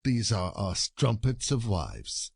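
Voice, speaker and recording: An actor playing a jealous, gloating peasant husband in a classic comedy audio play, sardonic and declamatory. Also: male voice, fairly low pitch, huge pitch swings, slow pace, vocal fry, darkish tone, slightly rough, dry recording, clean studio sound, full character voice. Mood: bitter muttering.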